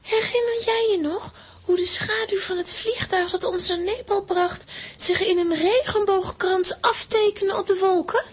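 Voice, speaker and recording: An excitable young girl in a children's audio adventure. Her voice is piercingly high, and she speaks at a slow, theatrical pace. The delivery is dramatic and full of exclamation. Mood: awed.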